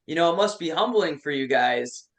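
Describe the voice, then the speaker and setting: A man's voice: fairly high pitch, expressive, normal speed, a bit bright. A man in his twenties from Western New York, a metal band member chatting enthusiastically as a guest and swearing freely. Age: 20s. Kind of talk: casual chat.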